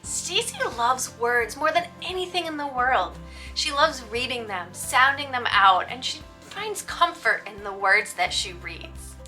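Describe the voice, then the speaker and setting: A woman presents book recommendations in an upbeat, scripted style. Her voice sits fairly high and is very bright, with presenter-like stress on key words. Music plays behind her. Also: animated, unhurried. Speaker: book reviewer and host for teachers. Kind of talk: upbeat scripted book review narration to viewers.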